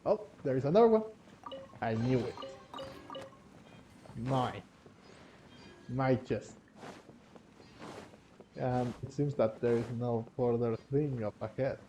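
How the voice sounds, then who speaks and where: Slow, very mellow man commenting on gameplay. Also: medium pitch, slight background noise, low-quality recording. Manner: hesitant, musing aloud, repeats words. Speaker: gaming video host. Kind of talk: casual game playthrough commentary.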